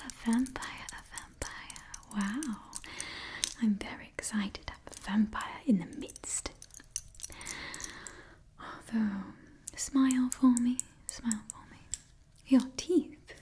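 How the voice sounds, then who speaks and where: A woman in her twenties whispering an ASMR roleplay close to the microphone, breathy and repeating her phrases. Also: on the high side, warm tone. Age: 20s. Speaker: ASMR performer playing a monster party door checker. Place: small indoor setup before a brick backdrop. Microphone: close microphone, face near camera.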